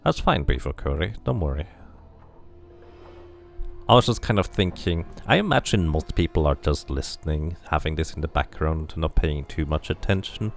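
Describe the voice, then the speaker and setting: Gravelly, musing male game streamer. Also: rumbling low, animated, moderate pace, soft background music. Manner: musing, chatty, thinks aloud to viewers. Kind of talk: casual let's-play commentary.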